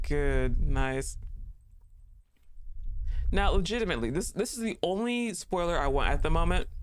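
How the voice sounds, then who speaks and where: A man's voice: a little high, smooth. A man streaming a horror game live, chatting casually, cursing freely and talking back to the characters on screen. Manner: casual, joking, swears freely. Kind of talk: live gameplay commentary.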